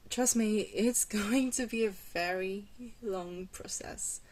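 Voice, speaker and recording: An ancient female vampire, acted by a woman, speaks slowly and softly in an intimate ASMR roleplay. She is gentle and alluring, guiding with a reassuring, faintly hesitant manner. Her pitch sits in an ordinary middle range for a woman. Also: lightly acted. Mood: nurturing, earnest. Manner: slow, hesitant, reassuring, with breathy pauses and small chuckles. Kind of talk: soft-spoken ASMR roleplay.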